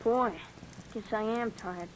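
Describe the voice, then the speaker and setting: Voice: mid-range voice, takes it slowly, lightly acted, rough recording. A performer doing a mouthy young street kid who trades slangy insults and won't give an inch. The voice sits in a middle, youthful register, and it is acted old-time radio style. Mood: sleepy. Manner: cocky street slang, sassy comebacks. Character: defiant streetwise boy, young teen, mouthy. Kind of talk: 1950s radio drama acting.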